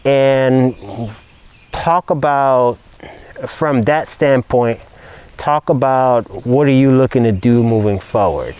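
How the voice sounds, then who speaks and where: A man's voice: medium pitch, slow, darkish tone, clean tone. A man hosting a podcast, who prompts his guests in an easygoing way. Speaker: podcast host. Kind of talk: casual chat.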